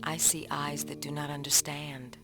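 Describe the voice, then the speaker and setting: A woman narrator reads written reflections dramatically, in a solemn, deliberate manner with pauses between phrases. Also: deep voice, flat delivery. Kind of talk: dramatic reading of written commentaries. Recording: acoustic guitar music behind the voice. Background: plucked guitar music.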